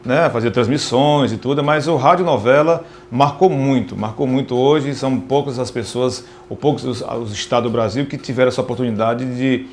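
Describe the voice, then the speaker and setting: A man with a Northeastern Brazilian accent speaks in a medium-pitched voice, as if giving testimony in an interview. He feels his way through sentences, repeating small words. Some ambient noise sits faintly behind him. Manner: halting, repeats words while searching for them. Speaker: radio presenter, interviewee. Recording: noticeable background noise, ambient sound.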